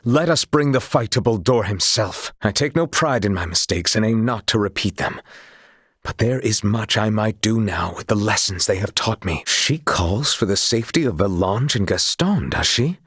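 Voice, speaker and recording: Low husky knight guy, solemn n remorseful, old-timey courtly talk, video game dialogue, super dry recording. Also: expressive, normal speed, a bit bright, no echo. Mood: earnest, resolute. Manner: formal, archaic courtly phrasing. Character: solemn honourable male former sea knight, remorseful, dutiful. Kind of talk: dramatic scripted game dialogue.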